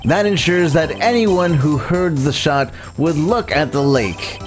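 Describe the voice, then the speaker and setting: A man voicing a young defense lawyer in a courtroom video game, earnest and nervous but determined, explaining in halting, formal speech. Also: a little high, lively intonation. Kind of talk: live voiced playthrough of a courtroom video game. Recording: game music under the voice.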